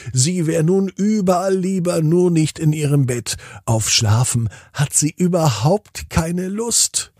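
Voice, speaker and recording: A man narrating a scripted bedtime story for children, in short simple phrases with deliberate pauses. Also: medium pitch, creaky, a little mellow, slightly rough, dry recording, clean studio sound.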